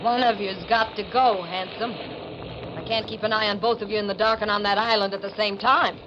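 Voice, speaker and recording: A woman's voice: mid-range voice, fairly even intonation, a little fast, close to an everyday voice. Casting: woman to play hard-boiled, greedy femme fatale for a vintage radio thriller, bossy and sardonic; dull, noisy old recording. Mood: coolly menacing. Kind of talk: 1940s radio thriller acting.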